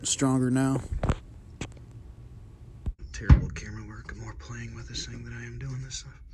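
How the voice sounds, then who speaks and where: A man in his thirties with a middle-pitched, easygoing voice talks to a handheld camera as he works on an old boat, loose and chatty, dropping swears and chuckling at himself. The sound is close and unpolished, with faint outdoor ambience underneath. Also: moderate pace, noisy background. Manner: relaxed, chatty, swears casually, laughs to himself. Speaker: vlogger restoring a sailboat. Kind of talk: casual self-filmed vlog narration. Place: inside a small boat cabin and outdoors in a yard. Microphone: handheld action camera at arm's length.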